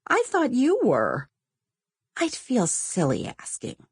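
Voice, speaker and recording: Lady doing a reluctant 11 year old girl, protesting, lifted pitch, audiobook character. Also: huge pitch swings, takes it slowly, slightly rough, dry recording, clean studio sound, heavily acted. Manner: hesitant, protesting.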